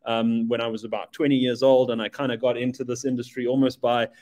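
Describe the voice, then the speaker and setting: A man originally from Zimbabwe presents over a video call in a relaxed, chatty way. He jokes about himself and fills his pauses with ums and uhs. His pitch sits in the middle range. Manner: chatty and self-deprecating, many fillers. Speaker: webinar presenter, Spotify team lead.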